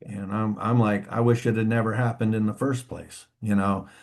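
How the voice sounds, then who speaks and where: A man who is a guest on a podcast interview, speaking in a halting, reflective way with frequent restarts and "you know". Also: on the low side, little pitch movement, gravelly, darkish tone. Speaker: musician guest. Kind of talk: interview conversation.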